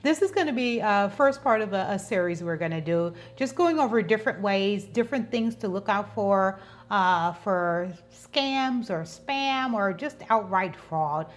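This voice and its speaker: A woman's voice: mid-range voice, lively intonation, measured pace. A woman in her fifties hosting an informal explainer vlog, talking conversationally and often repeating words as she goes. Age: 50s. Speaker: channel host and business owner.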